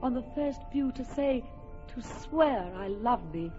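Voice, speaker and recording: A woman's voice: on the high side, animated, unhurried, warm tone, muffled recording, faint music behind. An actress playing a proud, doting fairy queen who speaks lyrical verse in a classic radio drama. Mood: enraptured. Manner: lyrical, imperious verse delivery.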